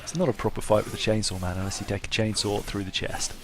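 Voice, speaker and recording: A man's voice: on the low side, fairly even intonation, fast. A man giving casual, self-deprecating playthrough commentary with a wry sense of humour. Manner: self-deprecating, wryly humorous. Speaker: gameplay commentator. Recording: faint background noise.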